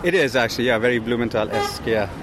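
A man who grew up in India, co-founder of a food startup, chatting casually in an interview while walking outside, with a relaxed and chatty manner and many fillers. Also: mid-range voice, fast. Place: outdoors on a city street. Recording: outdoor street recording with traffic. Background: traffic noise.

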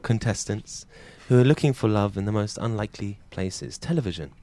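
A man's voice: on the low side, brisk, dark tone, voice pushed down, natural voice. A man improvising a smarmy middle-aged male dating show host who rambles through cheesy anecdotes. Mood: rambling. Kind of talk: improvised comedy skit.

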